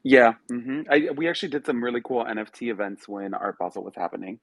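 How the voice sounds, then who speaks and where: A man's voice: medium pitch, steady tone, quick pace, no room sound, clean studio sound. A young man from Miami hosting a casual live audio chat, upbeat and chatty, with many fillers. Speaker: host.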